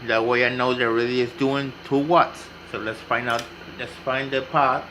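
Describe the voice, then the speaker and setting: A man's voice: mid-range voice, steady tone, normal speed, bright, forceful tone, a little hiss or hum, poor audio. A man giving a casual, unscripted hands-on tutorial, explaining step by step and repeating himself as he goes. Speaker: hobbyist demonstrating CB radio repair.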